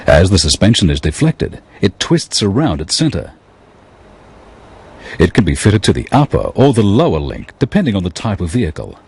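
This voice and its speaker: A man giving scripted technical narration in a measured, explanatory way. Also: low voice, sing-song, a little mellow, breathy edge.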